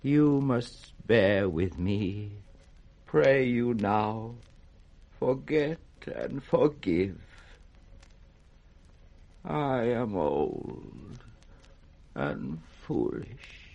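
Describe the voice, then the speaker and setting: Frail confused old king, british, creaky voice that swoops up and down, radio shakespeare. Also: lowish voice, very animated, darkish tone, lo-fi sound, exaggerated voice. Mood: weary, contrite. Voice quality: raspy, cracked. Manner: halting, wavering, theatrical.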